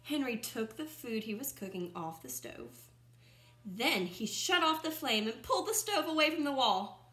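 A young woman with a bright, slightly high voice reads a children's picture book aloud. She is expressive and teacherly, stepping out of the story to comment or put questions to young listeners. The room she records in is audibly echoey. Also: normal speed. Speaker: first-grade teacher reading aloud. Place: small room at home, indoors by a window. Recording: roomy, echoing home recording.

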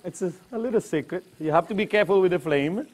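A man's voice: high voice, fast, darkish tone. Chatty Italian-accented man cooking. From Tuscany, Italy. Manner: chatty, broken English mixed with Italian interjections. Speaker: chef and cooking demonstrator. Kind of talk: live cooking demonstration. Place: kitchen demonstration set.

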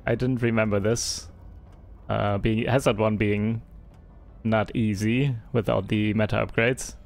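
A man's voice: fairly low pitch, fairly even intonation, a little slow, gravelly. German-accented man streaming a game. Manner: chatty, reads and answers chat, hesitant fillers. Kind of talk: casual live-stream commentary.

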